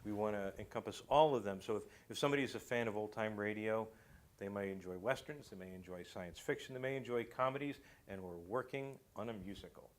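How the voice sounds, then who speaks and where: Low-pitched man chatting, halting delivery. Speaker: radio show creator, guest. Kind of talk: casual talk-show conversation.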